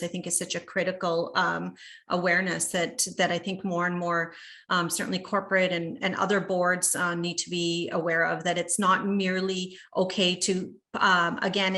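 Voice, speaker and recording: Woman moderator, bright tense voice, little pitch movement, halting delivery with ums, video call. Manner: hesitant, many fillers and restarts, appreciative. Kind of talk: moderating a panel.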